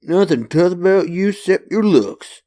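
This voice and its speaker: A man's voice: sits high, expressive, normal speed, dark tone. A man playing a joking, chummy vagrant drinking with his pals in a comic dub, with folksy, slangy banter. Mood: jovial, teasing.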